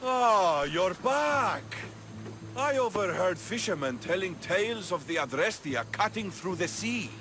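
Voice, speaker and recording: Animated, earnest Spartan soldier. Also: male voice, sits high, a little slow, music in the background. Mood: relieved, grateful. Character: proud, earnest young Spartan soldier and leader. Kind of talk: video game cutscene acting.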